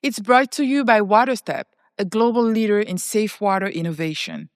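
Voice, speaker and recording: A woman reads a scripted podcast introduction in an even, read-aloud delivery. Her voice sits at a middle pitch for a woman. The sound is dry, with no room echo. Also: moderate pace. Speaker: podcast announcer.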